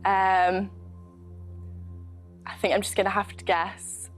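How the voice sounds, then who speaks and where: A woman's voice: mid-range voice, level tone, slow, faint music behind. A woman of twenty, a medical student competing on a quiz show, giggling and thinking aloud as she hesitates. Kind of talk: game show chat.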